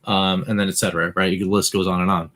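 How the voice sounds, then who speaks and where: Low-voiced man chatting casually. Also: quick pace. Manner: relaxed, explaining with many fillers. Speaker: podcast co-host. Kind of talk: casual chat.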